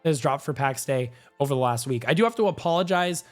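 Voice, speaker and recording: A young man hosting a gaming news show, hoarse and a bit nasal from a cold, talking in an upbeat, talkative and self-assured way. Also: medium pitch, lively intonation, fast. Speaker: gaming channel host. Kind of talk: energetic gaming news commentary.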